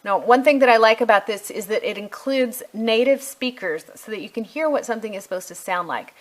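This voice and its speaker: Middle aged woman doing a chatty review walkthrough, kinda thinking out loud while she clicks around. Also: a little high, fairly quick. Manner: conversational, thinking aloud while navigating. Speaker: homeschool curriculum reviewer. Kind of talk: casual screen walkthrough review.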